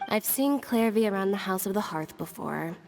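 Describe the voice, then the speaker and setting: Cool deadpan sister, reverberant. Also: female voice, mid-range voice, moderate pace, slight background noise. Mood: coolly insistent. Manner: deadpan, clipped. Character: cool, blunt young sister, quietly calculating. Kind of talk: video game voice acting. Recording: reverberant, with clear background ambience.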